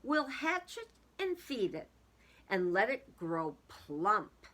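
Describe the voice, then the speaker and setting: A woman's voice: medium pitch, very animated, takes it slowly, sharp tone, heavily acted. A woman voicing an eager, gleeful storybook woman who savors her words with exclamatory emphasis. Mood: excited. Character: eager, gleeful woman fattening a turkey for dinner.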